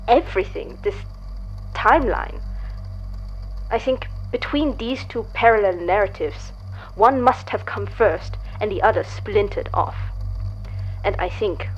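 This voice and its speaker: A woman acts out a self-assured, cryptic visitor in an audio drama. The delivery is measured and portentous, mid-pitched, as if laying out a grave theory. The sound is slightly muffled, with music behind it. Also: lightly acted. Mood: grave, certain.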